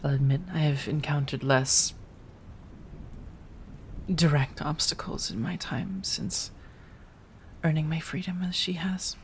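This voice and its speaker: A woman voicing a remorseful, possessive female vampire in an ASMR roleplay monologue, speaking in measured, halting, formal phrases with sighs. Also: low voice, a little hiss or hum. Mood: measured, reflective.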